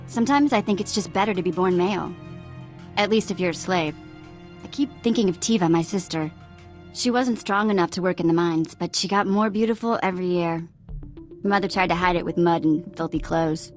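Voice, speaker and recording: Plucky young woman, low-pitched and casual actress. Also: a little fast, faint music behind, lightly acted. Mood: wistful, frank. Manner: casual, frank, informal. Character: plucky, informal young female former slave companion. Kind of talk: scripted video game dialogue acting.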